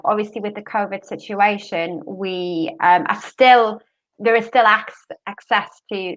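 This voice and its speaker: A woman's voice: fairly low pitch, a bit bright, lo-fi sound. A woman hosting a university panel discussion, friendly and easygoing, with plenty of fillers.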